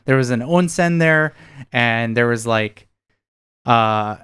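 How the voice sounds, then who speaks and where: An American man in his thirties chats as a podcast co-host. His voice is clean and resonant at a middling pitch. He talks reflectively and haltingly, often pausing to find the right word. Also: a bit bright, clean tone. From United States, near Seattle. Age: 30s. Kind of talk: casual chat.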